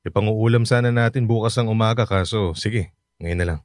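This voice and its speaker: A man playing a hardworking fifty-year-old taxi-driver father in a radio drama, speaking gently and reassuringly. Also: lowish voice, a little fast, close to an everyday voice. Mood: caring.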